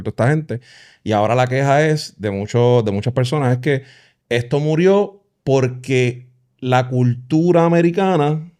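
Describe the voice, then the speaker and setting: A Puerto Rican man in casual podcast conversation, his speech quick and lively. His voice sits at an ordinary middle pitch. He sometimes slips English words into his Spanish. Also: clear, resonant tone. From Puerto Rico. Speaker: podcast co-host. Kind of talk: casual church discussion.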